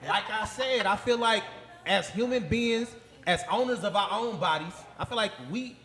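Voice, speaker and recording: A man hosting a podcast in a casual group chat, blunt and animated, often talking over the others. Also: high voice, normal speed. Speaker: podcast host.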